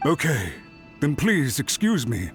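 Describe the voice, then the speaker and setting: A man's voice: on the high side, wildly swooping pitch, a little slow, soft background music. A man voicing an anxious, apologetic male clan retainer in a video game, speaking formally and humbly as he pleads. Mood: cowed.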